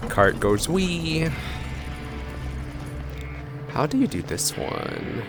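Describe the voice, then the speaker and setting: A man's voice: a little high, big pitch swings, very slow, gravelly. A man giving casual, playful commentary as a streamer while playing a video game, often talking to the game. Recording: game music behind voice.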